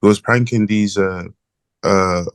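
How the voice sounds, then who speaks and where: A teenage man from Ireland with an Irish accent, calling in as an interview guest over the phone and talking in a hesitant, halting way with many fillers. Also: fairly low pitch, steady tone, clear, resonant tone, no echo. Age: teens. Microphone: phone call.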